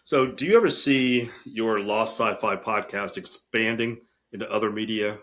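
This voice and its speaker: A man's voice: on the low side, normal speed, a bit bright. A man hosting a podcast interview, responding and putting questions to a guest.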